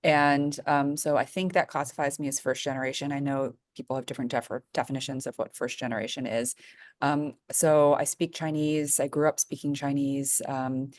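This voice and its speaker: Low-voiced chatty woman panelist. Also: steady tone, moderate pace, darkish tone, no room sound, clean studio sound. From New York. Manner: upbeat, chatty, frequent um fillers. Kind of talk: conversational self-introduction. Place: office. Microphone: video call.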